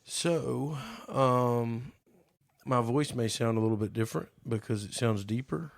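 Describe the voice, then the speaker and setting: A man co-hosting a casual podcast, playful and teasing, his voice a bit hoarse from being sick. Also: mid-range voice, no room sound, studio quality. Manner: playful, teasing, drawn-out reading aloud. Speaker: podcast co-host. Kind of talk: casual chat.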